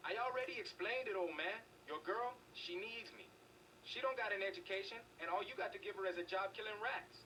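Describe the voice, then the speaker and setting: An actor playing a menacing, cocky young street thug in a video game, bullying and slangy. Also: male voice, high-pitched, fairly quick, pushed and bright, far from the mic, a little hiss or hum, rough recording.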